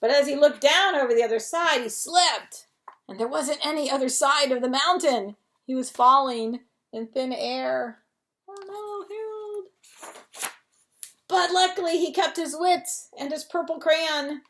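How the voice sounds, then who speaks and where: A woman's voice: high-pitched, unhurried, a bit bright. A woman reading a children's picture book aloud as a bedtime story, expressively, with chatty asides to young listeners.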